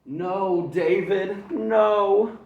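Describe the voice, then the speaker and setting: A stern storybook mother, put on by a man reading aloud, scolding her boy with loud, stretched-out warnings. Her voice goes up high above his own and booms in a roomy, echoing space. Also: recorded from a distance, lo-fi sound. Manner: loud, drawn-out warning calls. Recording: echoey room.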